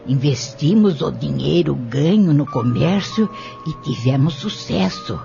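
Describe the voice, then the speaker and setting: A man as a slow, creaky elderly woman witness. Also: on the high side, animated, mellow, full character voice. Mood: earnest. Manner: slow, deliberate testimony. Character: elderly female witness, earnest, firm, former farm worker. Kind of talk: audio drama acting. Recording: muffled lo-fi audio with music.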